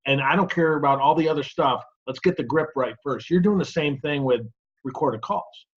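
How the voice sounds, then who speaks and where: Middle aged guy interview host, husky voice, talks in short chunks, kinda lo-fi sound. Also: fairly high pitch, moderate pace. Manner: breaks points into short phrases, uses personal anecdotes. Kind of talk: conversational interview.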